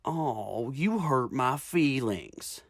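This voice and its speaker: A woman voicing a cruel, smirking gangster man in a gravelly, taunting drawl for a story reading. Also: rumbling low, animated, drawn-out words, dry recording, clean studio sound, pitched below the performer's own voice, exaggerated voice. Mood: smug taunting.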